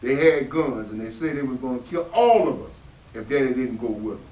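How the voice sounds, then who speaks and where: A man playing a proud, gruff working-class father, carrying old grief, in a staged play reading. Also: medium pitch, animated, a little fast, a little mellow, natural voice. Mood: bitter, grave. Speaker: actor in readers theatre. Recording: echoey room from far off.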